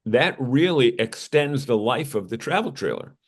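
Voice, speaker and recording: A man's voice: medium pitch, lively intonation, moderate pace. A man with an American accent co-hosting an RV news show, talking things over in a casual, conversational way.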